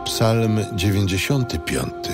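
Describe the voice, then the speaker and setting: A man narrating a scripted biblical audio drama in a solemn, measured manner. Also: on the low side, expressive, music in the background.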